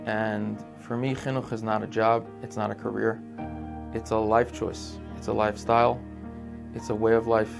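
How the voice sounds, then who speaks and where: A young man from Brooklyn, a teacher trainee speaking in a measured, reflective way in a testimonial interview. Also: lowish voice, level tone, creaky. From Brooklyn, New York. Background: guitar music.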